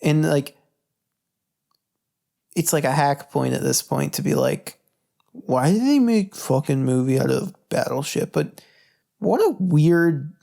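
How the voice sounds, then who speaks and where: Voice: mid-range voice, lively intonation, takes it slowly, gravelly, pauses often, mellow. A podcast co-host bantering casually, rambling in an offhand, hedging way with casual swearing.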